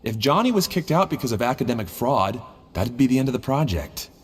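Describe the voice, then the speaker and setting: A man playing a weary, resigned young graduate student in a video game, husky and placating. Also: mid-range voice, very animated, brisk. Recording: long reverb.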